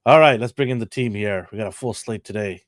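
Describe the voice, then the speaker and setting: A man with a raspy, under-the-weather voice hosting a casual panel chat. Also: on the low side, quick pace, no room sound, studio quality. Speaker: host.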